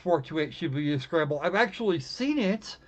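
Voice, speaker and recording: A man hosting a live game stream in casual chat, often halting and restarting his phrases. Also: on the high side, animated.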